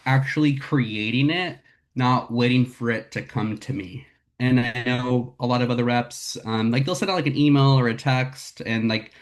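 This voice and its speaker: A young man from Northern California, raspy from a cold, talking candidly to his team over a video call with lots of "um" and "like". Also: mid-range voice. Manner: candid, filler-heavy, says "like" and "um" a lot. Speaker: sales rep presenting to a team. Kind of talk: casual talk on a video call.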